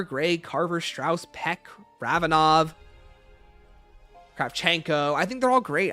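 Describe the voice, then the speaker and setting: A man's voice: on the high side, sharp tone. A man hosting a livestream, casually reading out questions and answering them with emphatic opinions.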